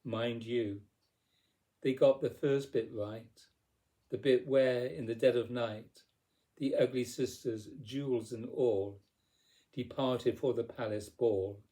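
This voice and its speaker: Male poetry narrator: unhurried, mellow, mid-pitched, theatrical storybook delivery. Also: fairly even intonation, rough recording. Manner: theatrical, deliberate phrasing. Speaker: poetry reader. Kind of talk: dramatic storybook verse narration.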